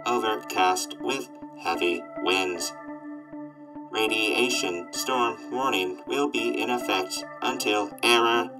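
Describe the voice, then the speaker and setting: A man voicing a gloomy, glitch-prone robot weather announcer with a flat, mechanical, formal delivery in a radio drama. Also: fairly low pitch, takes it slowly, over music, poor audio. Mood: monotone, glitching.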